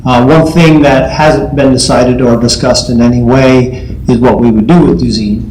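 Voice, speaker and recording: Man, school official, calm explainer, roomy hall sound. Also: medium pitch, normal speed. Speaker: school district official answering questions. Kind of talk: answering questions at a school board meeting. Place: meeting room. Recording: roomy meeting hall audio, faint noise.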